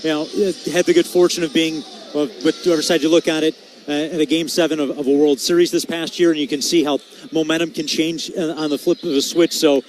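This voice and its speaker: A man speaking as a guest hockey analyst, conversational and halting, often repeating words as he goes. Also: a little high, flat delivery, a little hiss or hum.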